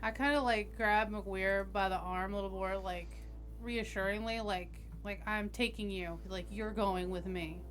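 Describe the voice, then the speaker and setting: A woman's voice: medium pitch, steady tone, a little slow, soft background music. A woman playing a tabletop game, casually narrating and speaking for her character. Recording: faint background noise.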